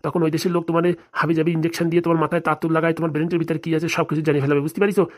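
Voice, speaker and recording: Casting: female performer for plotting, resentful village husband in cartoon, low register, breakneck delivery, confiding. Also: level tone, very fast. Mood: urgent. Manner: confiding, insistent, urgent. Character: resentful henpecked husband, furtive, plotting against his wife. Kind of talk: comedy cartoon dialogue.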